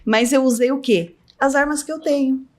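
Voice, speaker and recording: A middle-aged woman, a business owner telling stories as a podcast guest, her voice leaping up high as she laughs through anecdotes. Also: moderate pace. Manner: lively storyteller, voice jumps up high in anecdotes, laughs often. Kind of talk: casual storytelling interview.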